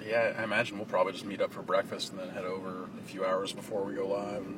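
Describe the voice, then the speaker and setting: A man with a slightly raspy voice, chatting casually as podcast host while riding in a car, rambling and correcting himself. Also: deep voice, little pitch movement, quick pace. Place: inside a car in city traffic. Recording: mic far off, loud road noise. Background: car and traffic noise.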